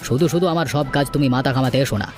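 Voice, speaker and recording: A man voicing a scheming, bossy older village headman in an animated folk tale, with a brusque, dismissive manner. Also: mid-range voice, racing, over music, natural voice. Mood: irritated, dismissive.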